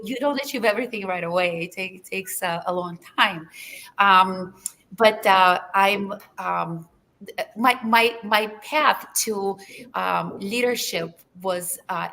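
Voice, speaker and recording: A woman's voice: medium pitch, gravelly, pushed and bright, rough recording. A Russian-speaking woman from Lithuania, talking earnestly on a webinar panel with many fillers and restarts over a video call. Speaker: panelist anesthesiologist. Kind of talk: webinar talk.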